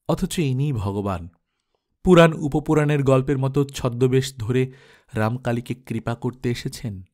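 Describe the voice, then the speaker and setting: A man with a medium-pitched voice narrates literary fiction. His reading is measured and expressive, pausing reflectively between phrases as an audiobook storyteller does.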